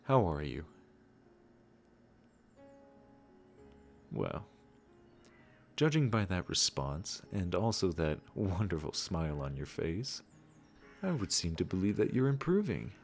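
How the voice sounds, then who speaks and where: A man playing a gentle, wise garden keeper in a comforting audio roleplay, speaking in a soothing, affectionate and encouraging way. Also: low-pitched, very animated, unhurried, creaky, soft background music, heavily acted. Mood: warm, delighted.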